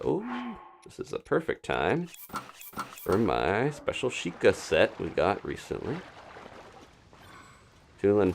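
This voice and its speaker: A man's voice: on the low side, a little hiss or hum. A man doing live gameplay commentary as a streamer, chatting casually and thinking aloud in a rambling way. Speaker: video game streamer.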